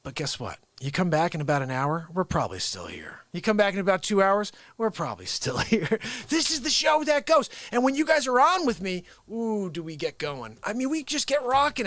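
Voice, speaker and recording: A man from the United States hosting an unscripted live stream, rambling excitedly, chuckling and greeting viewers by name. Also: high-pitched, normal speed, hardly any pauses, no room sound. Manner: hypes the show with catchphrases. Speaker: livestream show host.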